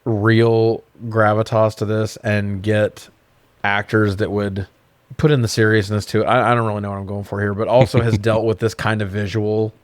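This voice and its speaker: A man with a fairly low voice co-hosts a film review podcast. He talks in a casual, conversational way, recorded dry with little room sound. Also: little pitch movement, moderate pace, dry recording. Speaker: podcast co-host reviewing films.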